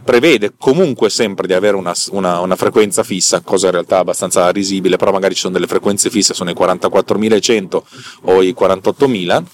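A man's voice: sits low, fairly even intonation, quick pace, a little hiss or hum. A man, a little tired, explaining technical matters as a podcast host in an informal, digressive way, often repeating and correcting his words. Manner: informal, digressive, slightly stammering with word repetitions and self-corrections; checks in with listeners using rhetorical questions. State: a bit tired. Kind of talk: casual explanatory tech monologue.

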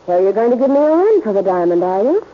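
A woman's voice: fairly high pitch, sing-song, quick pace, a little hiss or hum. An actress playing a stubborn, scheming female crime boss, curt and defiant, in 1940s radio style. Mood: bargaining. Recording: muffled old-time radio recording.

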